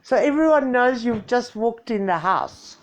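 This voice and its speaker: A woman's voice: a little high, lively intonation, measured pace, highs cut off, slight background noise. A woman in her sixties with a Greek South African accent, chatting playfully and mocking herself on a home live stream. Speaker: vlogger. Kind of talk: casual live-stream chat.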